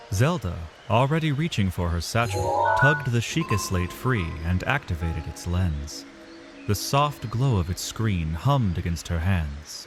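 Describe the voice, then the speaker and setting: A man delivering dramatic, measured literary narration as the storyteller of a scripted fantasy tale. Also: fairly low pitch. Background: music.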